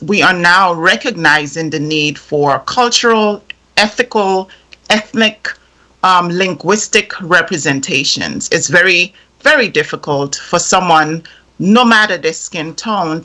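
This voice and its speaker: A woman whose first language is French, giving direct, measured testimony to a legislative committee. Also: lowish voice, bright, forceful tone. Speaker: invited expert testifying. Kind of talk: formal testimony.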